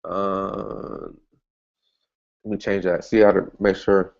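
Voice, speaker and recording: A man's voice: little pitch movement, takes it slowly, creaky, a little mellow. Chatty man thinking aloud on a lo-fi recording. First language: English. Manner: chatty, thinks aloud, frequent restarts and "boom". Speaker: online marketing instructor. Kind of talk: casual screen-share tutorial.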